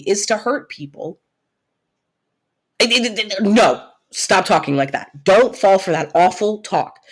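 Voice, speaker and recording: A young woman playing a caring, protective best friend secretly in love, in soft, intimate roleplay audio, with a husky, breathy voice and a teasing, reassuring way of talking. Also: medium pitch. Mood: earnest reassurance. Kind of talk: soft-spoken roleplay audio acting addressed to listener.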